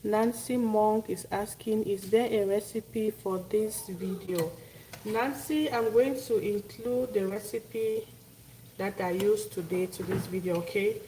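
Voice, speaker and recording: Woman, Cameroonian accent, mid-pitched voice; casual live cooking host who repeats herself as she talks to viewers, clear background noise. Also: fairly even intonation, a little slow, mellow, far from the mic, rough recording. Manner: repeats phrases, thanks and greets viewers by name.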